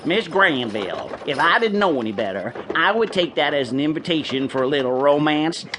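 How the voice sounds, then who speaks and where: A man voicing a grizzled old stagecoach driver who jokes his way through every line, drawling in a fairly high voice. A steady hiss runs under this radio drama recording. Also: brisk, close to an everyday voice. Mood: joshing. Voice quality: folksy drawl.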